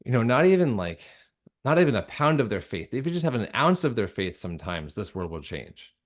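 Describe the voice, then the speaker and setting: A man speaking earnestly and haltingly in a conversational interview, repeating phrases for emphasis. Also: medium pitch, big pitch swings. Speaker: interview guest, radio drama creator.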